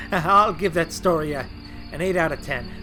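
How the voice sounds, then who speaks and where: A man's voice: a little high, takes it slowly, low-quality recording. A man playing a timid, polite talking engine who hesitates and stammers, in an audio drama. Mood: uneasy. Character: nervous, timid, polite young male engine. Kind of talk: audio drama character acting. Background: music, night insects.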